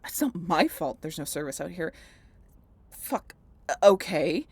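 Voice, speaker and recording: A woman acts out a sardonic female vampire in a scripted audio roleplay. Her voice is slightly low and husky, with a creaky edge. She talks straight to the listener in a wry, teasing, dramatic manner. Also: big pitch swings, measured pace, darkish tone, heavily acted. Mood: exasperated. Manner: sardonic, wry, playful, conversational. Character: snarky, swearing female vampire road-tripper, teasing and dramatic.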